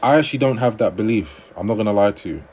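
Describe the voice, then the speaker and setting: Low-voiced man reading live comments. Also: lively intonation, quick pace, a little mellow, slight background noise. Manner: reads viewer comments aloud and replies conversationally. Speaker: livestream host giving dating advice. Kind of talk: casual livestream chat reading comments.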